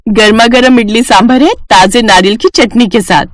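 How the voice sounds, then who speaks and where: Woman acting an eager, sweet young wife, higher voice. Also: moderate pace, no echo, studio quality, natural voice. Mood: cheerful, proud. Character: eager, sweet young daughter-in-law, health-food enthusiast. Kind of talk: animated Hindi story dialogue.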